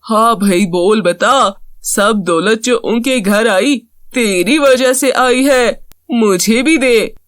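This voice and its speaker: A woman's voice: fairly high pitch, takes it slowly, no room sound, studio quality. A woman voicing a cunning, nosy, greedy neighbour in a children's cartoon, prying and exclaiming in an exaggerated way. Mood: greedy, demanding. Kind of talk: Hindi children's cartoon voice acting.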